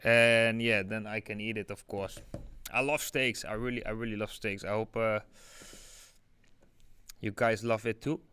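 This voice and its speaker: A man's voice: on the low side, steady tone, measured pace, long pauses, sharp tone, clear, resonant tone. Chatty Dutch-accented man on a livestream. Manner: chatty, many fillers like "like" and "yeah". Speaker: crypto livestream host. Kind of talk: casual live chart analysis.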